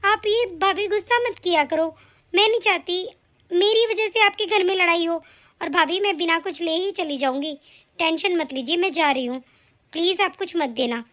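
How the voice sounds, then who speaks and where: Woman doing a gentle young sister, high voice, soft and placating. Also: moderate pace. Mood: hurt. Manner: soft, conciliatory. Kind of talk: family skit acting.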